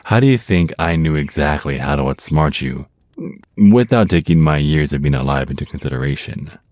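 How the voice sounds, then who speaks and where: Man voicing a very deep, seductive vampire naga. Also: expressive, darkish tone, dry recording. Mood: smug, taunting. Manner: slow teasing menace, pet names, breathy pauses. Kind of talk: intimate ASMR roleplay acting.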